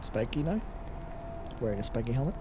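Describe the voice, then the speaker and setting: A man's voice: medium pitch, very animated, fairly quick, background noise. A man casually reacting to game cutscenes as a co-host, jokey and sardonic, sometimes sweary.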